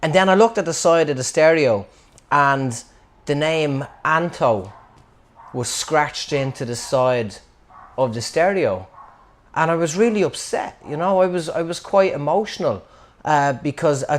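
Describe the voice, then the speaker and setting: A man's voice: a little high, measured pace. A man from Dublin with a Dublin Irish accent, telling a funny childhood story in a wry, rambling, self-mocking way. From Dublin, Ireland. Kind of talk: casual comic storytelling.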